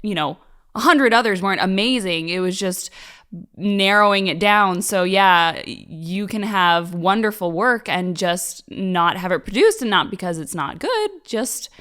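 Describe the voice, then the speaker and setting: Woman co-host with a mid-pitched voice. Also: animated, unhurried, sharp tone, clean tone. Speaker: podcast co-host. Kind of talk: casual interview chat.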